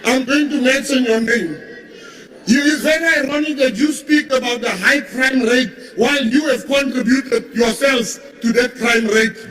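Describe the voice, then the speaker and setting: A man's voice: very high-pitched, pushed and bright. A man, a cabinet minister, giving an emphatic, mocking parliamentary speech. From South Africa. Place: large parliament chamber. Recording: echoey hall from far off.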